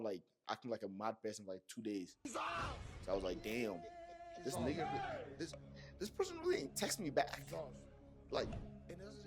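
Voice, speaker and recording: A young man from Nigeria with a Yoruba accent, telling a personal story to camera in a slangy, animated way with many fillers. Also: lowish voice. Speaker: vlogger. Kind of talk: casual monologue to camera.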